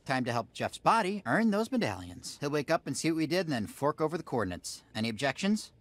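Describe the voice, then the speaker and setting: An actor playing an insecure, cocky toad team leader in a cartoon game, self-important and fretful. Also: male voice, on the high side, sing-song, fast talker, no room sound. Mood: scheming. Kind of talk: comic cartoon game acting.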